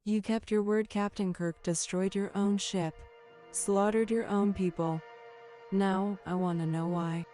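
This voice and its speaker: An actress playing a wary, stern rebel starship commander, controlled and formal in an audio drama. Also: female voice, mid-range voice, steady tone, a little slow, mellow, faint music behind, close to an everyday voice. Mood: stern, questioning. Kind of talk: dramatic audio comic acting.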